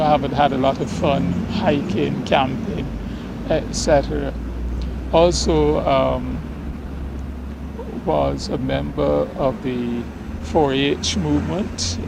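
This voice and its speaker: A Barbadian priest in his sixties, a man who speaks slowly and reflectively, often pausing to gather his thoughts. His voice sits a little high and has a gravelly fry to it. Traffic noise from the street outside comes through clearly behind him. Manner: slow, reflective, with many ums. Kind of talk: interview answers.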